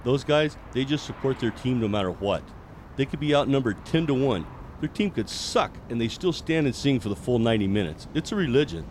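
Audio drama bar owner, male actor: mid-pitched, laid-back, supportive soccer devotee, slight outdoor noise. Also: animated, fairly quick, natural voice. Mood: enthusiastic, reflective. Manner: easygoing, encouraging. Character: friendly, easygoing bar owner and ex-military soccer fan. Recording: faint outdoor urban background noise.

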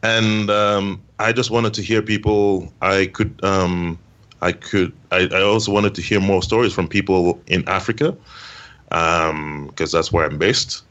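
A podcast guest talks about his own show, hesitating often and restarting his sentences. His voice is very deep, and the remote line sounds muffled and lo-fi. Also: fairly even intonation, measured pace, a bit bright. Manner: hesitant, many ums and restarts. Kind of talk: interview answer.

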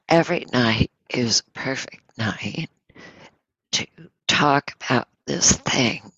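A woman with a cold speaks softly as a meditation teacher, gently guiding listeners in short phrases with pauses between them. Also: extremely low pitch, gravelly, slightly rough, no room sound, dull audio. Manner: soft, unhurried, pausing between short phrases. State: has a cold. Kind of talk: gentle guided meditation talk.

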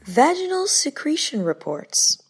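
A woman hosting a comedy podcast, slightly raspy and worn out, talking in a dry, mocking way. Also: high-pitched, sing-song, unhurried, over music. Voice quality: getting raspier. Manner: dry, mocking, puts on silly voices for bits. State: exhausted. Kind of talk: sarcastic comic monologue.

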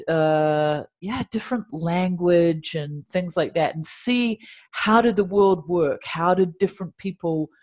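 Kiwi woman on a podcast, a bit low voiced, rambly and chatty. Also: darkish tone. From New Zealand. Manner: chatty, rambling, many restarts. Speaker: podcast guest. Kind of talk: casual interview.

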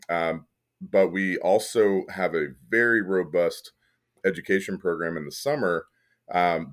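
A deep-voiced man from Kentucky, a podcast guest who leads an arts organization, talking thoughtfully with plenty of fillers and restarts. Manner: thoughtful, many fillers and restarts, occasional laughs. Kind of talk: casual interview conversation.

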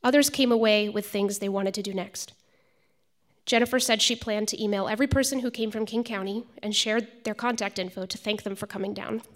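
A woman reading a prepared essay aloud at a public event in an even, measured way. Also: medium pitch. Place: arts center event hall.

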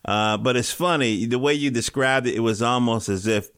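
A man's voice: medium pitch, normal speed, a bit bright, clear, resonant tone, no echo. A man hosting a casual interview from a small home studio, chatty and laid-back with a joking streak. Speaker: podcast host. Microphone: home studio mic.

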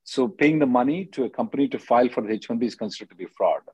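A man's voice: medium pitch, animated, very fast, darkish tone, husky, no echo, lo-fi sound. A man hosting a call-in as an attorney, advising callers in a blunt, directive way and often checking with "okay?".

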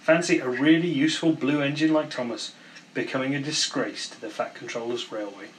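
A man's voice: medium pitch, brisk, lightly acted, rough recording. Male reader doing small cheeky engine, storybook, mocking, teasing, slightly raised voice, background noise. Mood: gleeful teasing. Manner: mocking and gleeful.

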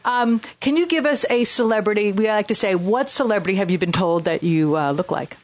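A woman's voice: medium pitch, animated, brisk, no echo. A woman co-hosting a dating chat, asking questions in a playful, teasing manner and laughing often. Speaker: co-host. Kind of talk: casual chat.

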